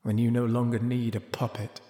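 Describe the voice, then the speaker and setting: A man's voice: mid-range voice, flat delivery, moderate pace, warm tone, dry recording, studio quality, close to an everyday voice. A man playing a clever, proud, bitter disgraced young lord in a fantasy audio drama, with theatrical intensity. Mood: sardonic, wounded. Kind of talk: high fantasy audio drama acting and narration.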